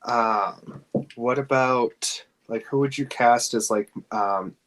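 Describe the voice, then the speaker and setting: Male podcast host with an average-pitched voice, chatty and inquisitive, hesitant in phrasing. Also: slow, fairly bright tone. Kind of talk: casual podcast chat.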